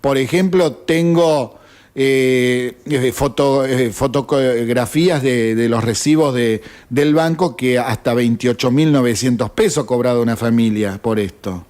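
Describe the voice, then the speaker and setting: A man's voice: mid-range voice, a little slow, darkish tone. A man with an Argentine accent, hosting a radio show and delivering an indignant opinion monologue full of rhetorical questions. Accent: Argentine Spanish. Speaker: radio host.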